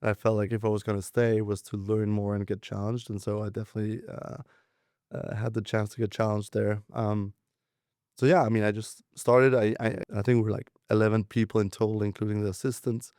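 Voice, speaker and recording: A man in his twenties from Denmark, raised in southern France, telling his career story in a relaxed interview, with lots of fillers and restarts. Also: lowish voice, fairly quick, no room sound, clean studio sound. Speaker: guest, venture capitalist.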